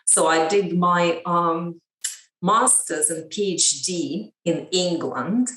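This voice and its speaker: A woman from Ukraine, a lecturer, giving measured, thoughtful interview answers. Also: lowish voice, a bit bright. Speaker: guest, education lecturer. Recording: roomy, distant mic.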